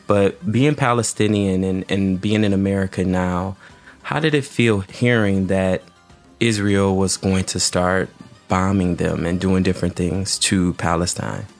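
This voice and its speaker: A man's voice: low-pitched, steady tone, measured pace, faint music behind. A male host introducing and interviewing a guest in a friendly, conversational way. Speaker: show host. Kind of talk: interview hosting.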